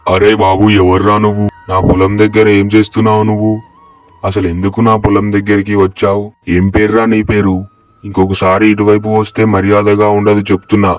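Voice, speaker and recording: A man's voice: on the low side, monotone, brisk, soft background music, lightly acted. A man playing a proud, blunt village farmer in a cartoon moral story. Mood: scolding. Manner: boastful, blunt, colloquial. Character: arrogant, scolding village farmer who later repents.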